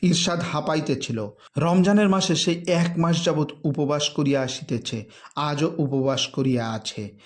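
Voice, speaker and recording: A man reads a literary story in a theatrical, measured, emphatic narration. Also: fairly high pitch. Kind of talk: dramatic literary audiobook-style narration.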